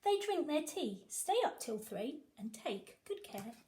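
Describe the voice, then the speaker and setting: A woman teacher reading a picture book aloud to young children, with animated, sing-song emphasis. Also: high voice, unhurried. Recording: echoey room.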